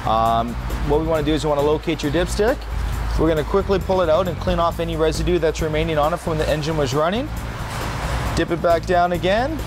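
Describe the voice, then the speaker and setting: A man in his thirties, a tire shop technician, walking through car checks in a garage with quick, practical explanations and filler words. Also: on the high side, music in the background. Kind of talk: hands-on demonstration. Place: car service garage, indoors.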